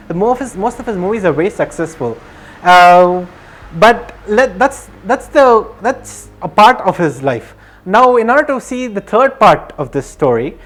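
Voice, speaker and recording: A video narrator telling a life story off the cuff, hesitant and rambling, often restarting and repeating phrases. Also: mid-range voice. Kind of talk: casual unscripted storytelling.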